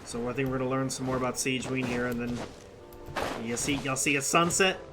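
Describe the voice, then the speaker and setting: A woman's voice: extremely low pitch, fairly quick. A woman streamer giving casual reaction commentary over a game, informal and exclamatory. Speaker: gameplay streamer. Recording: game music behind voice.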